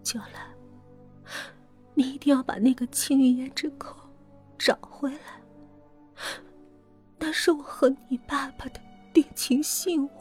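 A woman voicing a dying, bitter mother in an audio story, breathy and whispering. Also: high voice, steady tone, a little slow, pauses often, darkish tone, faint music behind, voice pushed up. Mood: bitter dying plea. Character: dying, bitter mother urging her daughter.

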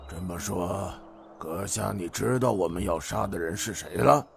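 A man voicing a cold, wary male bandit leader in a martial-arts audio story, talking low and sly. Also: very animated, a little slow, gravelly, pushed and bright, soft background music, exaggerated voice.

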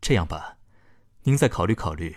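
An actor playing a calm, dutiful doctor in an audio drama, speaking in a measured, formal, politely firm way. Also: male voice, low-pitched.

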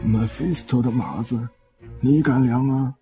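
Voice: medium pitch, measured pace, natural voice. An actor playing an irritable elder who scolds and stammers with exasperation in a comic audio drama. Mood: exasperated. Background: background music.

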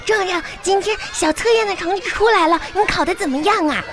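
High-voiced, cheeky little schoolgirl. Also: a little fast, noisy background. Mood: curious. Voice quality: high childish voice. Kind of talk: radio comedy sketch acting. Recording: music behind the voice.

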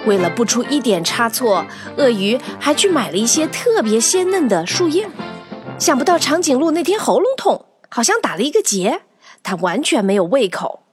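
A woman narrating a children's story in an expressive, animated scripted style, acting out the tale. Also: fairly high pitch, normal speed, over music.

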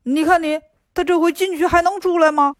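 A woman voicing a clumsy, boastful pig-monk who whines and complains fast in a children's storybook. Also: very high-pitched, steady tone, no echo, studio quality, voice pushed up. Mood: indignant. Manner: whiny, indignant and fast-talking.

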